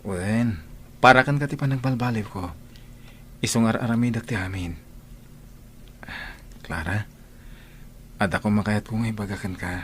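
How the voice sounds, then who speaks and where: A man's voice: lowish voice, a little hiss or hum, lightly acted. A man playing an earnest, devoted young suitor in Ilocano radio drama style, with an Ilocano Filipino accent. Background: music.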